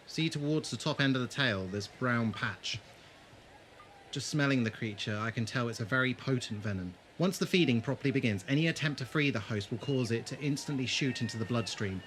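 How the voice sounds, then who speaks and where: Audio drama lead, male actor: grave adventurer, medium pitch, intense explanatory delivery, clear background noise. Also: animated, moderate pace, fairly bright tone, lightly acted. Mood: grave explaining. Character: grave, resolute adventurer leading his companions. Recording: clearly noisy background ambience.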